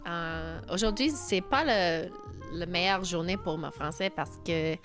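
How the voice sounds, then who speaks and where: A woman's voice: on the low side, unhurried, fairly bright tone, soft background music. A young Canadian woman with a Canadian accent hosting a casual livestream while sick and losing her voice, chatty and laughing often. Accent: Canadian English. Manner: chatty, laughs often, switches between English and French. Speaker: livestream host, brand founder.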